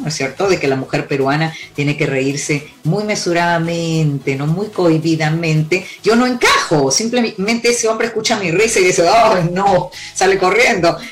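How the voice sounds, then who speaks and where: A woman, born in Cuba and raised in Peru, giving emphatic relationship advice as an interview guest. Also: on the low side. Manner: emphatic, lively storyteller with sweeping declarations.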